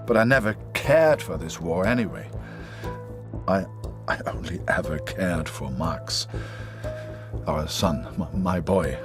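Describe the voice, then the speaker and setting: An actor playing a grim, vengeful middle-aged German soldier with a German accent in a game, speaking in a brooding monologue over music. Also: male voice, sits low, animated, slow pace, pauses often. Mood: bitter grief. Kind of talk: dramatic game narration, letter-like monologue. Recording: music behind the voice.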